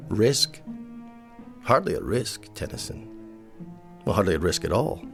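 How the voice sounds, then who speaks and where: A low-voiced, haughty older colonel. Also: male voice, very animated, vocal fry, a bit bright, soft background music, full character voice. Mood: cold contempt. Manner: formal, deliberate, cutting. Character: haughty, austere older gentleman colonel with cold contempt. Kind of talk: radio drama acting.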